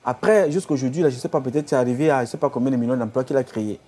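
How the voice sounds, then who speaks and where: Husky, exhorting man. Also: medium pitch, very fast, dark tone. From Cameroon. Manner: exhorting, repetitive rhetorical appeals. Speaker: activist leader. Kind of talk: impassioned address to followers.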